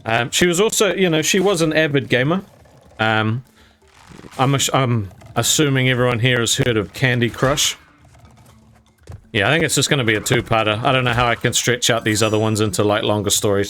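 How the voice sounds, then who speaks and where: A man's voice: medium pitch, brisk, fairly bright tone. A young man casually telling a story while gaming, rambling and laughing often, with some mild swearing. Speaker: gaming channel host.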